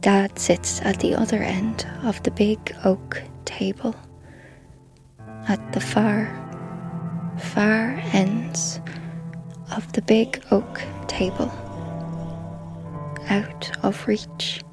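Nervous shy woman in a radio drama, hesitant, really drawn-out speech, music under it. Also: medium pitch, level tone, whispered. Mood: haunted, hushed. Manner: hesitant, halting. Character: shy, anxious, reluctant female amateur poet.

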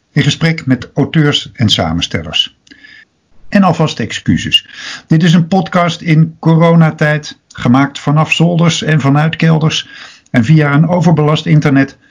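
A man's voice: a little high, animated, moderate pace. A man with a Dutch accent hosting a podcast, introducing and interviewing a guest over an internet connection. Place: at home, remote internet call. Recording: dull, lo-fi remote internet connection.

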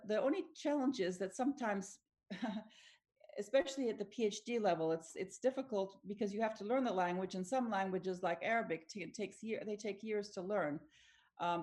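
A woman's voice: lowish voice, a little mellow. A middle-aged woman whose first language is Finnish, answering interview questions thoughtfully with frequent restarts and small laughs. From Tanzania. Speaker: academic researcher guest.

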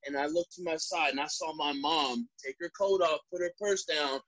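Brief: male wrestler guest, light higher voice, animated and laughing, dry close sound. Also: normal speed, slightly rough, no echo, poor audio. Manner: animated storyteller, laughs often. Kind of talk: casual podcast interview.

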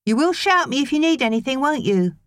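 A woman's voice: sits high, big pitch swings, fairly quick, dry recording, studio quality, voice pushed up. A woman voicing a chatty, caring teenage girl babysitter who gets a little exasperated, in an audiobook story. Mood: concerned.

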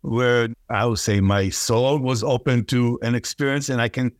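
A man from Israel, whose first language is Hebrew, speaking thoughtfully as a poet guest in an interview, pausing and laughing softly. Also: medium pitch, fairly even intonation, no room sound, studio quality. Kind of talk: reflective interview talk.

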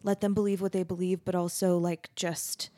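A woman's voice: on the low side, little pitch movement, normal speed, mellow, no echo. A woman in her thirties with an American accent, chatting informally as the host of a conversational interview, often chiming in with agreement. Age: 30s. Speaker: podcast host and university teacher.

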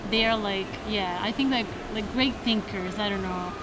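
A woman's voice: medium pitch, normal speed. Chatty young Spanish woman. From Spain. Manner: chatty, many "like" fillers. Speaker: interviewee. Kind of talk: casual interview chat. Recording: noisy background ambience.

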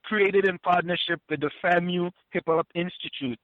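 An older man from Trinidad and Tobago speaks as a guest in a casual interview, earnest and prone to repeating words as he restarts. His voice sits fairly high for a man. It comes through a tinny, dry phone line. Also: steady tone, moderate pace, no room sound. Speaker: guest, former Wall Street compliance officer.

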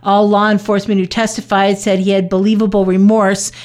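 A woman working as a news reporter, reading a scripted court report in a measured, matter-of-fact way. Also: mid-range voice, level tone, darkish tone.

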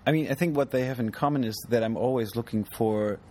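A man with a mid-pitched voice talks about his art in an interview. He thinks aloud, with many pauses and ums. Street and vehicle noise sit under the recording. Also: warm tone. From Germany. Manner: thoughtful, hesitant, many ums. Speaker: artist, interview guest. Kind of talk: casual interview. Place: outdoors at an art fair. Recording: noisy outdoor recording.

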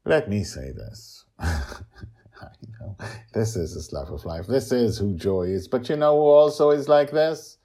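Middle aged guy hosting a story podcast, really low voice, swings around a lot. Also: unhurried. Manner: animated, dramatic emphasis, chuckles while talking. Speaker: podcast host, author and storyteller. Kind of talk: personal commentary and scripted storytelling.

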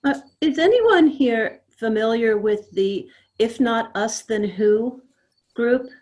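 A woman speaking casually in a group discussion, pausing as she recalls details. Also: on the high side, expressive, a little mellow. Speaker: discussion participant.

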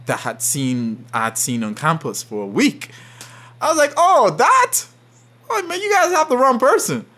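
Podcast guest, man from Saint Kitts, lively storyteller who acts out quotes. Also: very high voice, normal speed. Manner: animated, laughs often, acts out quotes. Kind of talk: storytelling in casual conversation.

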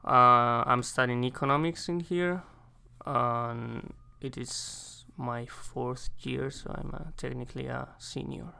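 Halting young Spanish-accented man, bright voice. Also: mid-range voice, fairly even intonation, vocal fry, clean tone. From Spain. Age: young adult. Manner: halting, searching for English words. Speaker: guest, exchange student. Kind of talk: casual interview answers.

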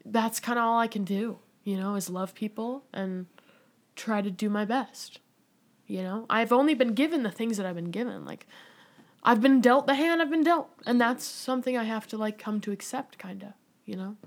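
Chatty young woman, fairly high voice. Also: normal speed. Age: young adult. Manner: rambling, lots of "like" fillers. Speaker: podcast guest. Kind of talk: casual candid conversation.

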